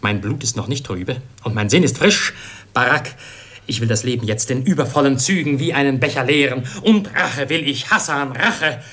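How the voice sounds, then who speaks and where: An actor playing a proud, impetuous young exiled prince in a verse radio play, with husky, declamatory delivery. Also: male voice, medium pitch, normal speed, fairly bright tone. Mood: fervent, vengeful. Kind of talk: classical German radio play acting in verse.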